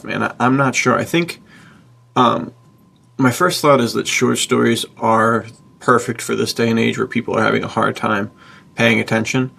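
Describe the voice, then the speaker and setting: A man with a very creaky, husky voice co-hosts a podcast in a loose, chatty style. He is enthusiastic and slangy, talking as if to a good buddy. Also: mid-range voice, fairly bright tone. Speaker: podcast co-host and writer. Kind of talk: casual podcast chat.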